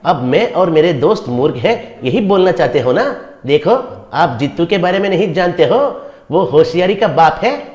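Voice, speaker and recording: A man's voice: fairly high pitch, lively intonation, normal speed, a little mellow, roomy sound, muffled recording, close to an everyday voice. A man playing cheeky, brash young village men in a comedy story, speaking emphatically. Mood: cheeky, indignant. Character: cheeky, defiant young sons and their pitchman friend. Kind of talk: animated comedy story dialogue.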